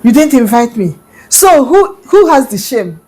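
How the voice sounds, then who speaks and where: A woman's voice: on the high side, big pitch swings, measured pace, a little mellow. A woman storyteller voicing a rival king who jeers at the host who snubbed him. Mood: gloating. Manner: mocking, taunting. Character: gloating rival king, a man with a fan.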